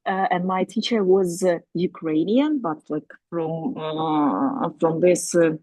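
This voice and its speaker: A woman whose first language is Crimean Tatar, speaking up from the audience at a discussion, hesitant and pausing often with fillers as she searches for words. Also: lowish voice, expressive, vocal fry, dark tone, no echo, poor audio. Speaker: audience member asking a question. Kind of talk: spontaneous discussion remarks.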